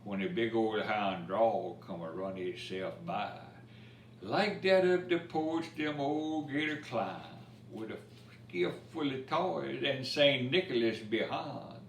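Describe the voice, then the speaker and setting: A man's voice: medium pitch, creaky. A man reading a children's picture book of Cajun dialect verse aloud, telling it expressively with asides about the pictures. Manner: expressive storytelling, pausing to point out pictures. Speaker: story time reader. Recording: roomy, distant mic with faint noise.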